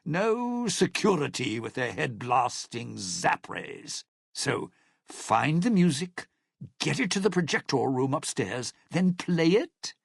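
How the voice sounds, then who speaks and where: An actor playing a cynical, self-serving lounge singer in a video game, with a raspy, gravelly voice and a wry, theatrical, menacing delivery. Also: male voice, a little high, sing-song, takes it slowly, dry recording, studio quality, exaggerated voice. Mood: agitated, scheming. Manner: wry, theatrical, hesitant muttering turning to threats. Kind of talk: video game character dialogue.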